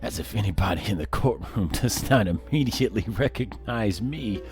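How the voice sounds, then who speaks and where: A man's voice: lowish voice, animated, normal speed, over music, voice pushed down. A man voicing a vain, self-adoring animal photographer in a courtroom game, pompous and grandiose. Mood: haughty.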